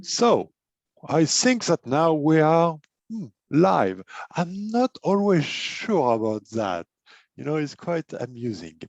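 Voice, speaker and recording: Non-native male host, halting and filler-heavy, muffled and dead sound. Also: a little high, big pitch swings, gravelly, darkish tone, dry recording. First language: not English. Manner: hesitant, many fillers and repeated words. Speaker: host, life and business coach. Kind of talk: casual interview chat.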